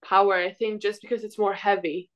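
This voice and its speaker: A woman's voice: medium pitch, fairly even intonation, fairly quick, highs cut off. A young woman from Greece, a volleyball player answering interview questions in a casual, hesitant, informal way. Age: young adult.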